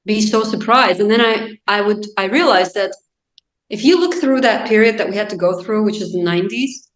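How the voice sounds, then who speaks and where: A woman, talking as a guest in a relaxed interview. Her voice sits at a middle pitch, and the room gives it a clear echo. She muses and circles back, filling her pauses with "you know" and "like". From Azerbaijan. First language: Azerbaijani. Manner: reflective, thinks aloud with many "you know" and "like". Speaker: guest author. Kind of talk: casual interview chat. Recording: roomy echo.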